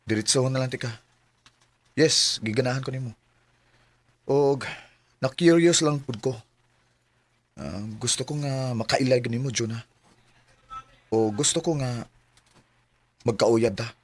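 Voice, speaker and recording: An actor playing an eager, devoted young man in a Filipino radio drama, earnest and a little hesitant, with a slightly husky voice. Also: mid-range voice, a little slow, long pauses, a little hiss or hum, close to an everyday voice. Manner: earnest, hesitant, then direct. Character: eager young male suitor, devoted son to his mother.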